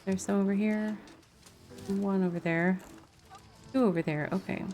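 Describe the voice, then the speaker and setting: A woman's voice: mid-range voice, steady tone, moderate pace, a little mellow, soft background music. A woman chatting casually and informally as she livestreams a video game, laughing easily. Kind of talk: casual livestream gameplay chat.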